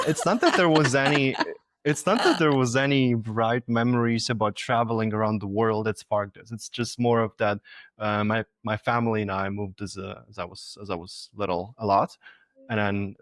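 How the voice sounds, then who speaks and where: A man's voice: fairly low pitch, normal speed, dry recording. A man in his twenties from Latvia, a native Russian speaker, chatting loosely as a podcast guest and often restarting his words. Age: 20s. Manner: relaxed, repeats and restarts words, laughs easily. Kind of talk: casual interview chat.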